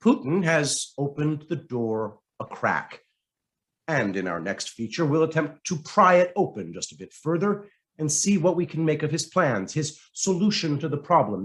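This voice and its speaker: A man reading a written essay aloud as scripted narration, in a measured, dramatic way with deliberate pauses. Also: fairly high pitch. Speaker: reader of a written article.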